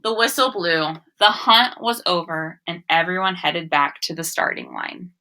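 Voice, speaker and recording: A young adult woman reading aloud and teaching young children, expressive and sing-song, often addressing them with questions. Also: fairly low pitch, measured pace. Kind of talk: read-aloud story and phonics lesson for children.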